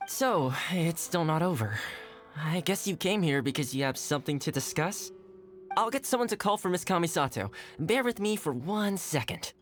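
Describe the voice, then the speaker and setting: A man's voice: a little high, expressive, moderate pace, lightly acted. Man voicing an easygoing young retainer, chatty game dialogue, faint music.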